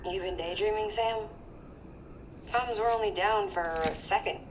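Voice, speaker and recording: An actress playing a calm, lonely scientist in a video game, speaking in a dry, understated voice. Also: female voice, medium pitch, unhurried, a little hiss or hum, close to an everyday voice, low-quality recording. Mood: skeptical. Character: calm, wry woman scientist, lonely young mother. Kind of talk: video game cutscene acting.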